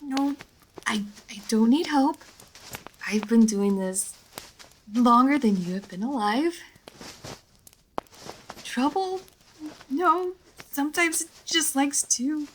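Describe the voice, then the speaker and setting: Slow-spoken, prim vampire lady, acted by a woman. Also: high-pitched, expressive, a little hiss or hum, rough recording. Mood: flustered, stubborn. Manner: formal, old-fashioned, measured phrasing. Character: centuries-old female vampire, prim, proud, guarded yet playful. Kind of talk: roleplay audio drama addressed to the listener.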